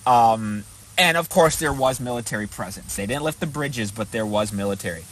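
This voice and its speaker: A man's voice: medium pitch, normal speed, a bit bright. Male vlogger, conversational and rambling, profane and griping, mid-range voice, noticeable noise behind him. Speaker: vlogger recounting a protest he attended. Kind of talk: casual unscripted storytelling to camera.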